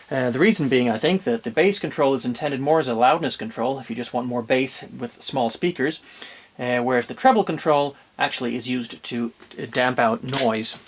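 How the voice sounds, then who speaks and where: A man's voice: mid-range voice, a little hiss or hum. A man presenting an unscripted, methodical demonstration of vintage audio gear, explaining step by step and correcting himself as he goes.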